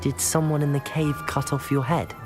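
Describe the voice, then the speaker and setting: An actor playing a polite, determined young wizarding student in a video game, earnest in manner. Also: male voice, fairly high pitch, level tone, moderate pace, lightly acted. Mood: curious. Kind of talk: video game character acting. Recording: music behind the voice.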